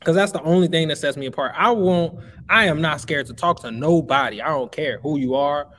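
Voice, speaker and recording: A man's voice: fairly high pitch, brisk, a bit bright, a little hiss or hum. A man in his twenties from Chicago, a guest on a podcast, talking emphatically and giving motivational advice. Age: 20s. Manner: emphatic, motivational, calls the listener bro. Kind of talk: casual podcast interview.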